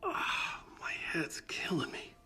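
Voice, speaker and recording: An actor playing a haunted, weary novelist in a video game, speaking in a halting, earnest, troubled way. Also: male voice, bright, forceful tone, whispered. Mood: groggy, weary. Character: haunted, weary male novelist trapped in nightmare. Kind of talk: cinematic video game acting.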